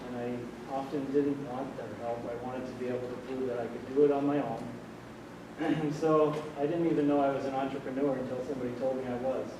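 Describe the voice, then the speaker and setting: Man in his sixties, soft-spoken, rambling with fillers; distant mic in a very echoey hall. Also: mid-range voice, flat delivery, a little fast, background noise. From Vermont. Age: 60s. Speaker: audience member at a panel. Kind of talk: public comment at a panel discussion. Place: large hall. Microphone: distant room mic.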